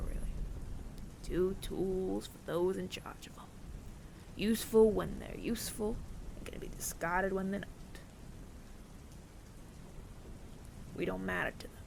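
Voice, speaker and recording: A man playing a weary, remorseful bounty hunter in an audio roleplay, speaking in hushed, breathy, deliberate phrases with heavy pauses. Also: sits high, heavily acted. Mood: somber, bitter. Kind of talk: dramatic ASMR audio roleplay monologue. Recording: clear rain noise behind the voice. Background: rain.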